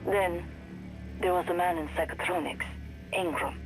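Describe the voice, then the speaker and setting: A woman playing an earnest crew member in a video game, giving testimony in a plain, deliberate way. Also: on the low side, fairly even intonation, music in the background, close to an everyday voice, rough recording.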